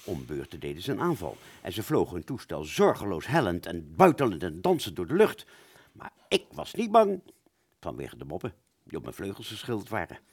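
Man acting animated, rambling wartime fighter pilot. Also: deep voice, a little fast, husky, dry recording, clean studio sound, exaggerated voice. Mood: dreamy, recollecting. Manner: stammering, repeating, dramatic. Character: rambling, agitated male wartime fighter pilot reliving battle. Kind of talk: radio play monologue.

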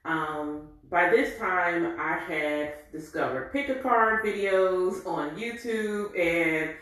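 A woman in her forties telling a candid, reflective personal story in an animated way. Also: fairly low pitch, slow pace. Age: 40s. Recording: very echoey room.

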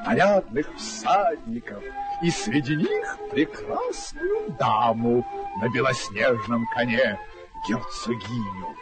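An actor narrating a radio play as a wry storyteller, in an unhurried, theatrical way. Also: male voice, mid-range voice, poor audio. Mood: savouring. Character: storyteller narrator, wry, savouring each picture. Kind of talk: dramatic scripted storytelling narration. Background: music.

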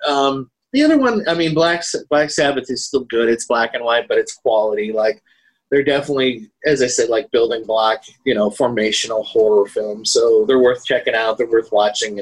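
A man co-hosting a podcast, talking casually about films in a halting, hedging way. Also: medium pitch, animated, rough recording. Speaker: podcast co-host. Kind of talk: casual film discussion.

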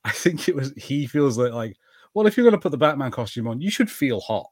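A man co-hosting a casual film podcast, explaining in halting bursts and acting out mock quotes. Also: mid-range voice, sing-song, darkish tone, no room sound, clean studio sound. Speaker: podcast co-host. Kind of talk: casual film watch-party banter.

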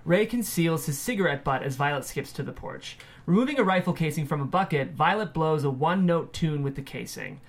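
A man reads out screenplay stage directions as a narrator. His voice is a little higher than most men's, and he delivers scripted scene description for a table read. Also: expressive, fairly quick, creaky, hardly any pauses.

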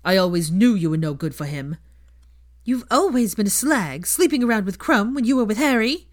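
A woman's voice: mid-range voice, lively intonation, normal speed, voice pushed up, natural voice. A woman voicing an angry, scornful writer of hateful letters in an audiobook story. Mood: outraged. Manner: venomous, scornful. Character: angry letter writers shrieking venomous insults.

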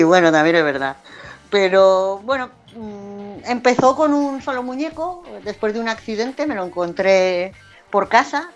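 A woman's voice: lowish voice, dull audio. A woman from Madrid with a Madrid Spanish accent tinged with Valencian, chatting casually as a podcast guest, laughing often and poking fun at herself. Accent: Madrid Spanish, with some Valencian influence. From Madrid, Spain. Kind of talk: casual chat.

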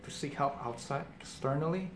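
A man's voice: on the high side, brisk. A man from Indonesia with an Indonesian accent, talking thoughtfully in casual conversation and often repeating words as he gathers his thoughts. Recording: echoey room, faint background noise.

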